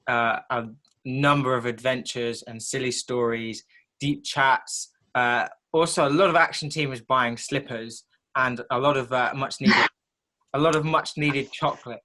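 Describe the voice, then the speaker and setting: A man's voice: mid-range voice, bright, forceful tone. An eighteen-year-old man from England giving a light-hearted, jokey account in a casual group presentation. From High Wycombe, England. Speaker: gap-year team member.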